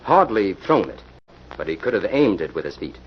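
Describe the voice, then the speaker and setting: A composed, confident detective, lowish in pitch with lively inflection. It is 1940s radio drama on a muffled old recording. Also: male voice, normal speed, slight background noise. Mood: composed, wry.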